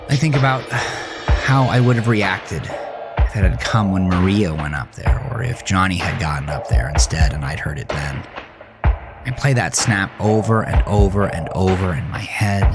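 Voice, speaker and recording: A man acting as a haunted, rage-filled narrator, telling a first-person horror story in an intense, brooding, deliberate way. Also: low-pitched, natural voice. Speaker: narrator of a horror audio drama. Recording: music bed under the voice.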